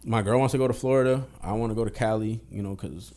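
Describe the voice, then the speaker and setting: Fast-talking young man, candid. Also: on the low side, darkish tone. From Boston. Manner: streetwise, candid, heavy swearing. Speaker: podcast guest. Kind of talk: casual podcast conversation.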